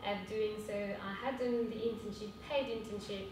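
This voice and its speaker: A young woman with a French accent giving an earnest conference talk, pausing often with fillers. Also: steady tone. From France. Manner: earnest, hesitant with frequent fillers. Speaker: cooperative speaker. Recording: echoey hall from far off with rumble.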